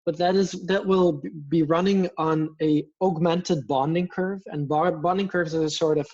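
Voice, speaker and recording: A man's voice: on the high side, fairly even intonation, normal speed, a little mellow. A man in his thirties from Belgium, a native Dutch speaker with a Belgian Dutch accent, talking enthusiastically as a guest over a video call. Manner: enthusiastic, talks with his hands. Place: home room with plants and posters. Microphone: headset headphones on video call. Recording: muffled lo-fi video call audio.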